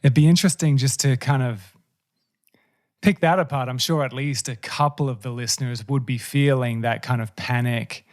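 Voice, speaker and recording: A man hosting a podcast, asking earnest, thoughtful questions in a relaxed conversational way. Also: medium pitch, moderate pace. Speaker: podcast host. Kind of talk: casual interview chat.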